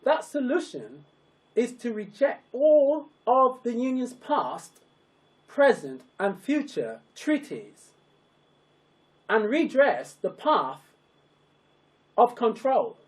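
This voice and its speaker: A narrator reads a formal public address very slowly. The pitch moves widely from phrase to phrase. The delivery is solemn and emphatic, with sentences broken into short, weighty pieces. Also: very animated, poor audio. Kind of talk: scripted, declamatory public address.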